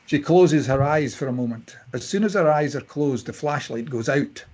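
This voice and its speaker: Male narrator, raspy mid-range voice, reading screenplay action lines. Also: medium pitch, normal speed, darkish tone. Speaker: stage-direction reader. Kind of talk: scripted narration at a table read.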